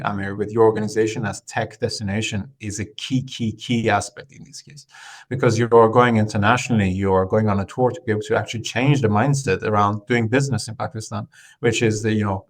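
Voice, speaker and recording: A man from Iran, a podcast guest, talking in an unhurried, conversational way with frequent fillers and restarts. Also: fairly low pitch. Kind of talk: conversational interview.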